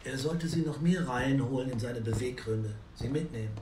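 A male actor plays an analytical writer in a naturalistic audio drama. He speaks at a medium pitch in a businesslike, encouraging way, with clear noise in the background. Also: normal speed, lightly acted. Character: keen, analytical writer developing a book story.

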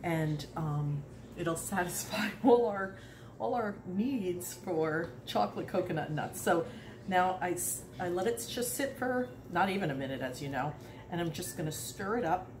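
A woman in her sixties talks through a home cooking demonstration in a loose, rambling way, breaking into little laughs. Her voice sits on the low side. The phone recording carries the echo of a hard-walled kitchen and a steady layer of background noise. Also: moderate pace. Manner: chatty, rambling, laughs often. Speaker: home cooking video host.

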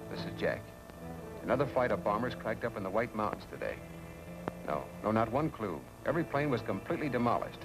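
An American man acting a brisk, earnest young pilot hero in a 1940s film serial, urgent and decisive, on an old film soundtrack. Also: mid-range voice, natural voice, rough recording.

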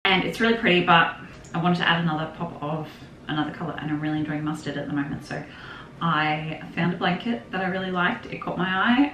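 A young woman with a lowish voice chats her way through a casual shopping haul, rambling and laughing easily. She sits at a desk in a home craft room, picked up by a camera a little way off. The room is echoey, with noise behind her. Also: a bit bright. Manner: chatty, rambling, with frequent laughs and restarts. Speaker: vlogger.

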